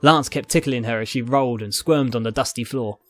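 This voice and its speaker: A man's voice: mid-range voice, brisk, dry recording, soft background music. A man reading fiction aloud as narrator, in scripted dramatic storytelling style. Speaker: narrator, fan fiction reader.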